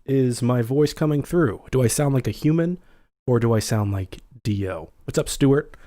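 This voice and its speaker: A man's voice: mid-range voice, moderate pace, dark tone. A young adult man with a scratchy voice, hosting a casual livestream and chatting with viewers as he reads out their comments. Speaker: livestream host.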